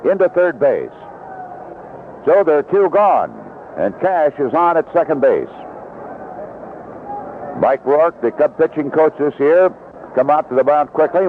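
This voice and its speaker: A man calling live baseball play-by-play on the radio in clipped, unhurried descriptive phrases. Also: on the high side. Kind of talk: live radio sports commentary. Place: outdoor ballpark broadcast position. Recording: vintage 1970s radio broadcast, lo-fi, noisy.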